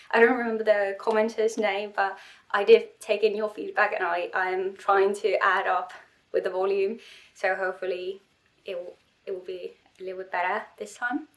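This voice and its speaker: A young woman with a cold talks through her homemade costume in a loose, rambling vlog. She keeps restarting her sentences and running herself down, sniffling as she goes. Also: medium pitch. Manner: rambling, self-deprecating, halting restarts. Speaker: cosplay vlogger. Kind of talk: casual vlog talk.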